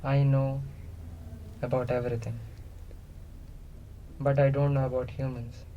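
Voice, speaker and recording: A man playing a captive, all-knowing non-human being in a radio play, curious and literal, speaking in halting, deliberate pieces. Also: mid-range voice, flat delivery, dull audio, slight background noise, close to an everyday voice. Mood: solemn, matter-of-fact. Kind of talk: semi-improvised radio play dialogue.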